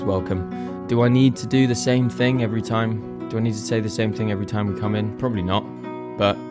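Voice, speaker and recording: A young man hosting his own podcast, a little croaky, chatting casually and thinking aloud in a self-deprecating way. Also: lowish voice. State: slightly croaky voice. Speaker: podcast host. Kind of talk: casual solo podcast chat.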